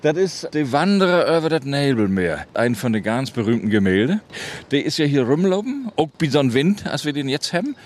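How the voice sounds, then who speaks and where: A man from Rügen with a northern German Low German accent, chatting casually and explaining things, repeating himself as he goes. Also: sing-song, a little fast, a little mellow. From island of Rügen, northern Germany. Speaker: interview guest, writer. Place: outdoors on a windy clifftop. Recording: clearly noisy windy outdoor recording. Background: wind and outdoor ambience.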